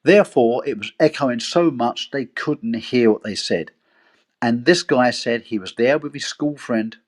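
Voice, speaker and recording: A man in an online discussion, telling a history story with animated rhetorical questions. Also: mid-range voice. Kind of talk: casual storytelling.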